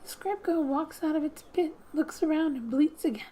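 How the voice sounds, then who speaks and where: A woman hosts a quiet gaming ASMR read-through in a high, mellow voice. She speaks softly and breathily, often slipping toward a whisper. Her delivery is gentle and unhurried, with playful asides, over background music. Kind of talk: soft-spoken ASMR game narration, reading text aloud with asides.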